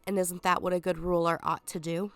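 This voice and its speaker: A scheming, sarcastic lion king, read by a woman with a cold in a video game walkthrough. He sounds stuffed up yet smooth, pitched on the low side and close to a dry mic. He sneers down at the listener with drawn-out dips and breathy whispered asides. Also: little pitch movement, no room sound, faint music behind, close to an everyday voice. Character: scheming, sarcastic male lion villain, self-proclaimed king.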